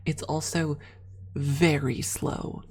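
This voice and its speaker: A woman with a soft, breathy voice, often near a whisper, chatting casually and playfully as an ASMR streamer close to the microphone. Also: deep voice, level tone, slow. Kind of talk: casual ASMR livestream chat with viewers.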